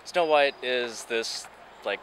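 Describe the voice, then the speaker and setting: A man giving casual, halting answers in an interview. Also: mid-range voice, a bit bright, slight background noise.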